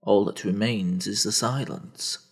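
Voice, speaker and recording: A man narrating a dramatic audio story in a theatrical, brooding manner, with heavy pauses. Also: on the low side, fairly even intonation, creaky, breathy edge.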